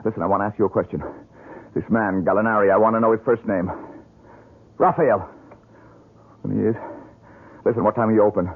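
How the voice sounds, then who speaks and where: An actor playing an earnest, excitable painter with a husky voice, in old radio drama style. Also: male voice, fairly low pitch, a little fast, pauses often, phone-quality sound. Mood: alarmed, urgent. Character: earnest, excitable, haunted struggling male painter. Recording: thin band-limited old radio broadcast.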